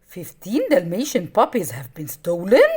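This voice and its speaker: A woman's voice: on the low side, huge pitch swings, a little slow, darkish tone, breathy edge, pitched below the performer's own voice, exaggerated voice. A woman voicing an alarmed Great Dane messenger in a storybook, speaking with urgent emphasis. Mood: surprised.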